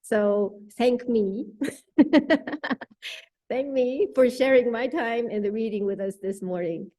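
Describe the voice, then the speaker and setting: A woman's voice: a little high, takes it slowly, warm tone. A woman serving as worship associate, informally making announcements and moderating a church discussion.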